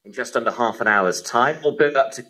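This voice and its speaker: A man's voice: mid-range voice, fairly quick, pushed and bright. A man presenting live sports news as a radio host, heard over a shortwave radio broadcast.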